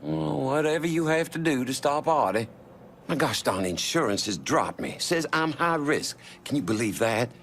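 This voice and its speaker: A man's voice: a little high, lively intonation, normal speed, gravelly, fairly bright tone, a little hiss or hum. A man playing a fussy, chatty, easily indignant small-time salesman in a crime game, with folksy, mild-mouthed turns of phrase. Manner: folksy, mincing oaths, hesitant then indignant. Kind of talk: dramatic video game acting.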